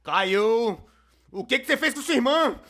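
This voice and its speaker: A man's voice: very high-pitched, animated, unhurried, pushed and bright, full character voice. A man with a Brazilian accent voicing an exasperated cartoon mother who scolds a young child. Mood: scolding, exasperated.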